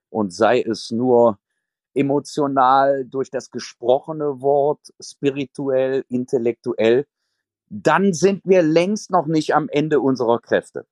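Slow, thoughtful German man. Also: mid-range voice, a little mellow, no echo. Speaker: podcast guest. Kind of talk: reflective conversation.

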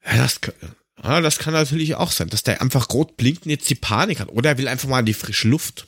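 A middle-aged man chatting casually on a humorous podcast in a halting, wry storytelling manner. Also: medium pitch, fairly bright tone, breathy edge, no echo, clean studio sound. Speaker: podcast host.

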